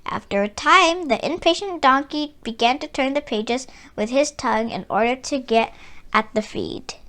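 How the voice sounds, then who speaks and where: A woman reading a children's story aloud in an expressive, deliberate storytelling way. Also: sits high. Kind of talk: read-aloud storybook narration.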